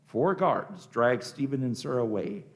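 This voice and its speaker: A man's voice: medium pitch, very animated, moderate pace. A man narrating a scripted radio drama, reading out the scenes and action.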